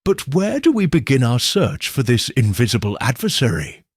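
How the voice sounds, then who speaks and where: A man's voice: mid-range voice, very animated, normal speed, breathy edge, dry recording, clean studio sound. A man voicing an earnest detective's sidekick in an audiobook mystery, puzzled and eagerly questioning.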